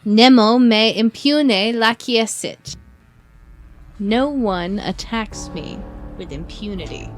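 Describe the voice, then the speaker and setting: A woman acting in an audio drama as a scheming aristocrat, speaking formal archaic lines with measured pauses and feigned concern. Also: a little high, soft background music. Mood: ominous, stately. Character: scheming aristocrat feigning solicitous friendship, secretly vengeful.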